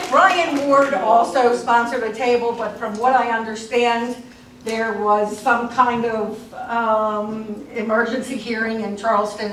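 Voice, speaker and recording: A woman's voice: fairly high pitch, very noisy. A woman emceeing a dinner, making casual, chatty introductions. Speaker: event organizer and emcee. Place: church hall at a dinner event. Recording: echoey hall from far off.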